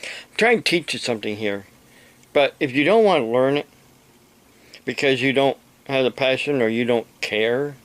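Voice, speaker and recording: A man from the United States giving an unscripted explanation to camera, speaking haltingly in short phrases with long pauses between them. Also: medium pitch, lively intonation, fairly bright tone, no echo. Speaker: amateur radio operator and preacher making a video.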